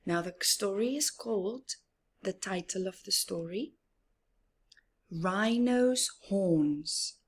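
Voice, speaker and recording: A woman's voice: medium pitch, animated, takes it slowly, husky. A woman from Africa hosting a children's storytime, reading aloud in a teacherly, emphatic way with questions and explanations. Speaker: children's storytime host and reader.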